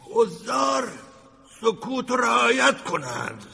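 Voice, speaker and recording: A high, forceful stern judge. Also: male voice, sing-song, gravelly, husky, full character voice. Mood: stern, proclaiming. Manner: declamatory, formal. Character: stern, formal judge pronouncing sentence. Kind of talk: dramatic acting.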